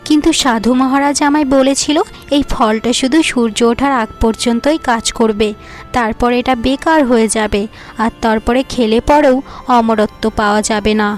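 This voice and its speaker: Lady doing smart earnest kid niece in a fairy tale cartoon, high voice, persuasive. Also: steady tone, moderate pace, faint music behind, close to an everyday voice. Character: earnest, clever mistreated young niece.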